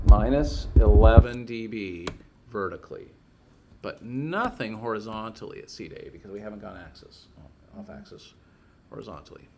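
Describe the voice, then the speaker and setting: Casting: male instructor, mid-range voice, informal step-by-step technical walkthrough, audible background noise and ambience. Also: medium pitch. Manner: informal, thinking aloud step by step. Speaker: teacher. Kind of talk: casual hands-on technical lesson, working through steps aloud.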